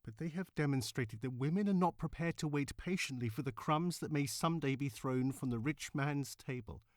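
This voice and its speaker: A man voicing a cultivated, impassioned suffragist woman orator of the 1900s in formal, emphatic, measured speech. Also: fairly high pitch, a little mellow, no echo, studio quality, lightly acted. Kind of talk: dramatic reading of historical speech.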